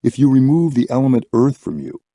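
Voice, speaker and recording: A man reading a scripted spiritual audiobook with measured, deliberate phrasing. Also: mid-range voice, big pitch swings, warm tone, dry recording. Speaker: audiobook reader.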